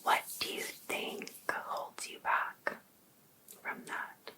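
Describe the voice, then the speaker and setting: A woman playing a sweetly pushy, possessive female life coach in an ASMR roleplay, coaxing one client in a whisper. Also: extremely slow. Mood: soothing, probing.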